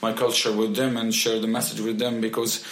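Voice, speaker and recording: A young musician, a man, answering interview questions in halting English with many restarts. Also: lowish voice, completely flat delivery, fairly bright tone, reverberant. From Egypt. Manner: halting, with frequent restarts and fillers. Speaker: musician guest.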